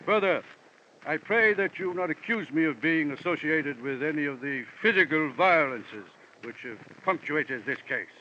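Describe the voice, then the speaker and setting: An actor playing a florid, jovial and pompous gentleman investigator from London with a villain's air, in 1940s radio style. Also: a little high, animated, moderate pace. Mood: amused, self-satisfied. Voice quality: voice like a portly classic-film villain. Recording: muffled, hissy old radio recording.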